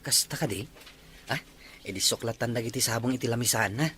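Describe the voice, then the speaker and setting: A man's voice: mid-range voice, fairly quick, bright, forceful tone, husky. A man playing an earnest, polite young suitor in an audio drama. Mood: eager. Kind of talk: Ilocano audio drama acting.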